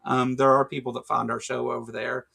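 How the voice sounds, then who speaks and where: A man with a Kentucky accent, his voice carrying a gravelly rasp. He rambles through a casual livestream chat, padding his talk with fillers and dry jokes. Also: mid-range voice, flat delivery, fairly quick, sharp tone. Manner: rambling, filler-heavy, joking. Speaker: podcast co-host.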